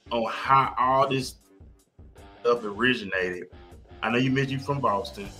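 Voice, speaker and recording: Male podcast host, forceful bright tone, effusive and emphatic delivery. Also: medium pitch. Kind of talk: enthusiastic podcast hosting.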